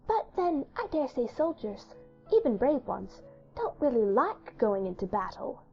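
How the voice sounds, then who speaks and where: A woman's voice: a little high, very animated, measured pace, highs cut off, soft background music, pitched above the performer's own voice. A woman voicing a solemn, frank, imaginative little girl in an earnest way for an audiobook drama. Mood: solemn, questioning. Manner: earnest, serious.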